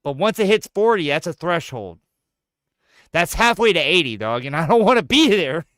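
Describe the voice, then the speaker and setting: Lively, bright-voiced joking man in his thirties. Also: on the high side, normal speed, dry recording, studio quality. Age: 30s. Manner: joking, profane, animated banter. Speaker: gaming streamer. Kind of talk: casual chat while gaming.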